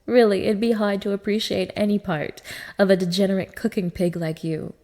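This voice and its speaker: A woman's voice: mid-range voice, fairly quick, a little mellow, natural voice. A woman playing a cruel, scornful dominatrix in an intimate ASMR-style audio roleplay, talking down to her listener with open contempt. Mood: greedy, scornful. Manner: sneering, scornful, swearing, swinging from breathy murmurs to raised snaps. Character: cruel, contemptuous young dominatrix belittling a submissive man.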